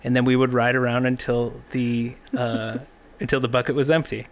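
A man's voice: mid-range voice, level tone, normal speed. A man in his thirties, guest and producer on a podcast, chatting casually and dryly with family in a home kitchen. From Canada. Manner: easygoing, dry understatement. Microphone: brought-in podcast mic.

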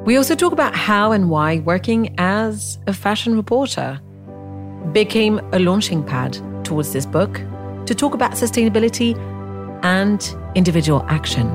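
A woman's voice: medium pitch, expressive, normal speed, music in the background. A woman hosting an interview podcast, introducing her guest and talking with her.

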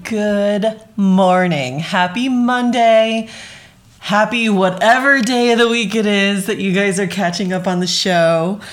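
A woman's voice: mid-range voice, slow. Chatty woman in her thirties podcasting. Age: 30s. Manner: chatty, friendly, frequent "like" fillers. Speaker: podcast host. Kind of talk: casual solo podcast chat.

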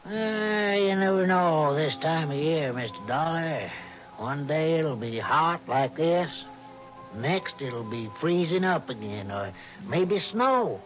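An actor playing a cautious, folksy old Western guide with a rural American drawl in a 1960s radio drama. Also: male voice, a little high, expressive, slow, faint music behind. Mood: grumbling, wary. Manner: folksy, drawling, ungrammatical backwoods talk. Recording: dull, noisy vintage radio recording.

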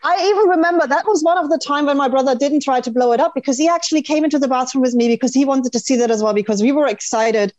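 A woman's voice: high voice, fast talker, few pauses, darkish tone, no room sound. A woman chatting casually and emphatically as a podcast guest, with plenty of swearing, on a lo-fi recording. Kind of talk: casual chat, telling childhood memories.

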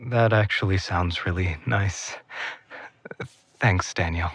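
A man's voice: sits low, slow, a bit bright, breathy edge, no echo, exaggerated voice. A man acting in an audio drama as an earnest, exhausted and protective older brother, speaking with feeling. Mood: weary, tender.

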